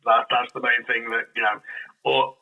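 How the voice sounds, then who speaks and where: A man chatting casually as an interview guest, often halting and correcting himself. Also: medium pitch, flat delivery, creaky, narrow, tinny audio. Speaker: podcast guest, audio drama creator.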